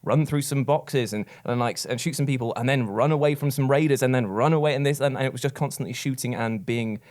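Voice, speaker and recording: A man's voice: medium pitch, fast talker, darkish tone. A man talking casually and emphatically as a podcast panelist discussing films and games, often repeating words to press his point. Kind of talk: casual film and game discussion.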